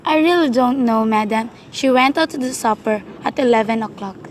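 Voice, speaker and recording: A woman acting as a polite, officious hospital receptionist in a radio play, measured and formal. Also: a little high, a little hiss or hum, lightly acted. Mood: formal, composed.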